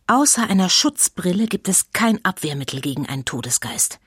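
A woman playing a forward female reporter who narrates a spooky children's radio play with confiding suspense and a husky voice. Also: lowish voice, big pitch swings, a little fast, a bit bright, no echo, clean studio sound, exaggerated voice. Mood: ominous.

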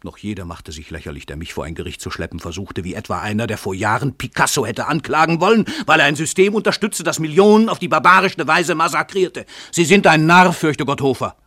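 An actor playing a pompous, cold-blooded famous crime writer in a radio play, declaiming in a grand, commanding way. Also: male voice, on the high side, brisk, hardly any pauses, pushed and bright. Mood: menacing, haughty. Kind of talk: German radio play acting.

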